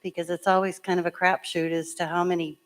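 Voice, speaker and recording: A woman town clerk with a hoarse voice, chattily explaining voting procedure step by step at a public meeting. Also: fairly low pitch, little pitch movement, fast talker. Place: school meeting room.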